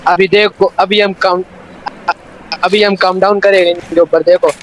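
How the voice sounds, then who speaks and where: A man's voice: high-pitched, little pitch movement, measured pace, rough recording. A man, bantering bossily with his squad in casual online game chat. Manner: bantering, bossy. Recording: faint noise, game sounds behind.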